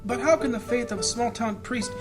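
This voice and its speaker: A woman's voice: fairly high pitch, a little fast, music in the background, close to an everyday voice. A woman playing a humble, troubled small-town priest, earnest and dramatic, in a fantasy audio drama. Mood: disbelieving.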